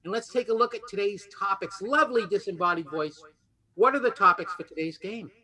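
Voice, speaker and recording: Male game show host, high-pitched and very bright, forceful delivery, lively and conversational. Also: a little fast, low-quality recording. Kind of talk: lively quiz show hosting, partly improvised.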